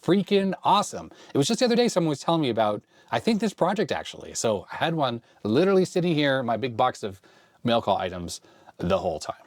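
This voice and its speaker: A man's voice: mid-range voice, huge pitch swings, fast, dry recording, clean studio sound. A man hosting a retro computer tech vlog, thinking aloud and a little sick with a fading voice. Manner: thinking aloud, hesitant self-questioning. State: slightly sick, losing his voice. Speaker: host of a retro computer channel. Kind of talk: casual tech vlog explaining on screen.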